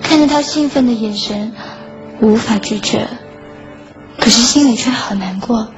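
A woman acts as a lovelorn schoolgirl in a radio drama, with a fairly high voice. She is wistful, earnest and quietly self-effacing as she tells of her heartbreak. The audio is dull and lo-fi, with clear music underneath. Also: normal speed, close to an everyday voice. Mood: sorrowful. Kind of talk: Chinese radio drama acting with inner monologue.

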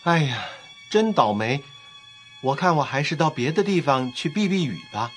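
Guy doing a little swallow in a kids storybook, highish voice, expressive and cheerful, music behind. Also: moderate pace. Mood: puzzled, grumbling. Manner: expressive, exclamatory. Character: small swallow, weary but cheerful and kind-hearted. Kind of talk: children's audio storybook acting.

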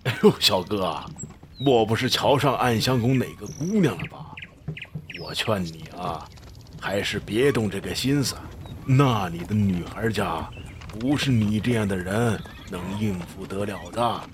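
Man playing a low-voiced, folksy cart driver. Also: animated, unhurried, background noise. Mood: frank, teasing. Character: folksy middle-aged male cart driver, frank and cautioning. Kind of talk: Chinese period audio drama acting.

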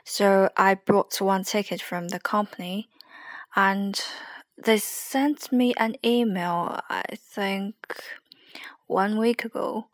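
A young woman with a Chinese accent, rambling hesitantly and softly in a late-night solo podcast. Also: medium pitch, steady tone, slow pace, no echo. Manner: hesitant, rambling, soft and sleepy. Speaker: podcast host.

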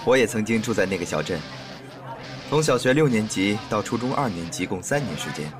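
A man's voice: fairly low pitch, lively intonation, fairly quick, lightly acted. A man playing a polite young professional on a first date in a radio drama. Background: music.

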